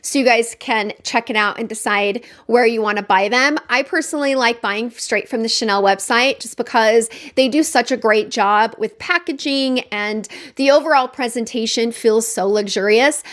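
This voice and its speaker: Nasal, congested woman, 30s, beauty vlogger, slightly high-pitched, chatty reviewer. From Utah, USA. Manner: chatty, friendly, detailed. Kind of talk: makeup review talk to camera.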